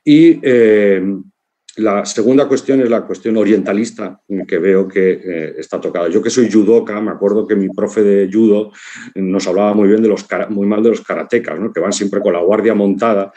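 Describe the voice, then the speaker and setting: A man in his fifties with an accent from Spain, giving an informal conference talk over a video call in a reflective, halting way, often checking in with short tags. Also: lowish voice, steady tone, dark tone. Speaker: congress speaker, writer and philosopher. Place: living room at home, on a video call.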